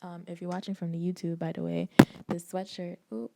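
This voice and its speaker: A young woman from the Bahamas, hosting her own podcast in candid, animated casual talk with frequent laughs and slangy asides. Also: fairly low pitch, a little fast, creaky, very noisy. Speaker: podcast host. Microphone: desk microphone.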